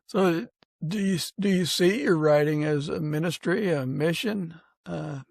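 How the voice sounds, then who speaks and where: Male podcast interviewer, slightly high and creaky, conversational, recorded dry. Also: a little slow, no room sound.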